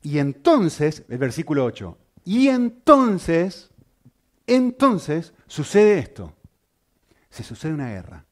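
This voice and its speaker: A man preaching a sermon, asking the congregation rhetorical questions and speaking with lively emphasis. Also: on the high side, takes it slowly.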